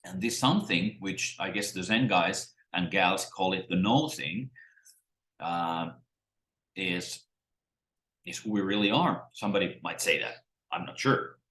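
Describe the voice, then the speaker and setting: A middle-aged man, teaching in a halting, musing way with many restarts, talking to a webcam at his desk. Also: low voice, fairly bright tone. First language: Finnish. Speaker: spiritual teacher. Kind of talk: unscripted talk to camera. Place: small room at home, indoors. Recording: roomy echo.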